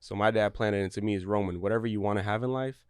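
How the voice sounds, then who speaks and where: A young man from Ukraine talks in a low register with a smooth, clean tone. He speaks earnestly, often restarting his thoughts. The sound is dry and close. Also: fairly even intonation, fast talker, a little mellow, no room sound. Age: young adult. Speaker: guest, real estate broker. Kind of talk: casual interview talk.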